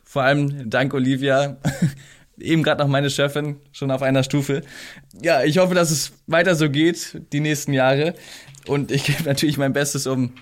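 A man acting as an ambitious newsroom editor in an audio drama, newly promoted and making a grateful speech. He sounds a little flustered, with a mid-pitched voice. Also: steady tone, moderate pace, natural voice. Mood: proud, elated. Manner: grateful, slightly nervous speech-maker.

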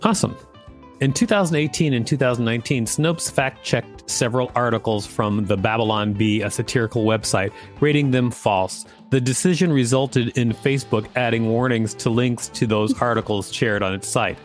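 Male podcast co-host, mid-range voice, loose jokey read-aloud, soft guitar music underneath. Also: mid-range voice, normal speed. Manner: informal, joking, stumbles over words. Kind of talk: reading an article aloud with casual banter.

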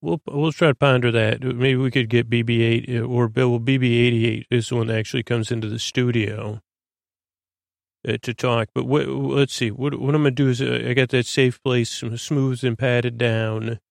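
Creaky-voiced man rambling softly through a bedtime podcast. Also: medium pitch, steady tone, no room sound, clean studio sound. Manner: meandering, halting, stammering, drifts off on tangents. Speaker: podcast host. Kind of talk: rambling bedtime-story podcast monologue to lull listeners to sleep.

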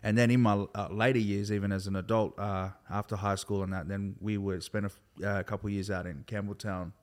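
A laid-back Australian man in his thirties speaks in a low, steady voice that barely rises or falls. He talks loosely and at length, circling his point with plenty of "like" and "bro", as a guest in an easygoing conversation. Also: quick pace. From Sydney, Australia. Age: 30s. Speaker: guest, hip hop artist. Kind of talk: casual conversation.

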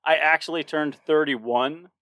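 A man's voice: on the high side, fairly even intonation, a little slow, a bit bright, dry recording. Laughing, rambling man in his thirties. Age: 30s. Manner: rambling, laughing often, many restarts. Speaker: podcast co-host. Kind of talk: casual chat.

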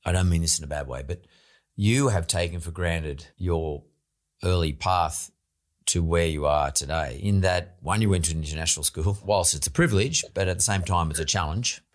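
A man's voice: low-pitched, moderate pace, no echo, clean studio sound. A man hosting an interview podcast. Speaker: podcast host.

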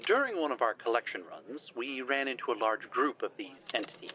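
A man playing an earnest, improvising smooth talker from an exploration team in an audio drama, formal but halting. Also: mid-range voice, sing-song, sharp tone, slight background noise, rough recording. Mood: earnest explaining.

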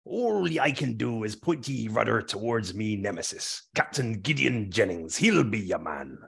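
A man playing a growling pirate captain in a comic audio story, with a gravelly, husky voice and pirate talk. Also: fairly low pitch, very animated, moderate pace, a bit bright, exaggerated voice. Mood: scheming. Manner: pirate talk full of arrs. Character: growling, self-interested old-time pirate captain.

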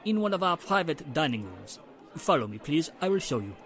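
A high-voiced, anxious Frenchman. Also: big pitch swings, a little fast, music in the background. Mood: deferential. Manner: formal, deferential, peppering speech with French. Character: anxious, apologetic French café manager. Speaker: restaurant manager character. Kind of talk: radio drama acting.

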